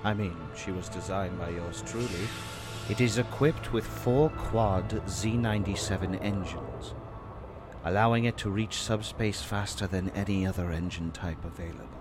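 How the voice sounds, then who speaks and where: A man's voice: deep voice, moderate pace, over music, lightly acted. A man acting as a proud, touchy starship engineer with a self-satisfied, showy way of talking, in a sci-fi audio drama. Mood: proud, boastful. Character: boastful, touchy male starship lead engineer.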